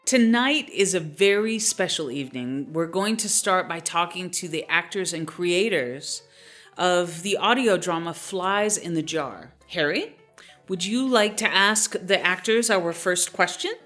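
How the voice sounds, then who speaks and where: A woman's voice: on the low side, unhurried, a bit bright, faint music behind. A woman hosting a show with scripted banter and introductions. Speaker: host.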